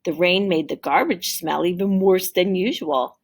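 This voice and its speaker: A woman teacher reading a children's story aloud in an expressive storytelling style. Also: fairly low pitch, normal speed.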